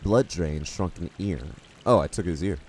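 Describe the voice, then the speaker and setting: A man's voice: sits low, normal speed, darkish tone, slight background noise, low-quality recording. A man from the United States chatting casually with viewers while he plays a game, rambling and poking fun at himself. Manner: rambling, self-deprecating, reads chat aloud. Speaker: streamer.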